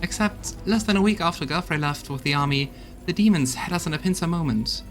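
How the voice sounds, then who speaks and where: A man voicing a rough-tongued, loyal crusade soldier in a fantasy game, talking in a blunt, folksy, gossipy way. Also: a little high, fairly quick, fairly bright tone, soft background music, lightly acted. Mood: defiant, bitter. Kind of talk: voiced fantasy role-playing game dialogue.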